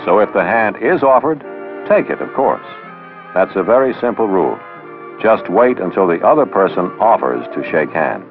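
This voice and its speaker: A man's voice: sits low, big pitch swings, normal speed. A man narrating a 1960s training film, instructing with wry, sarcastic asides. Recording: dull old film soundtrack with music. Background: background music.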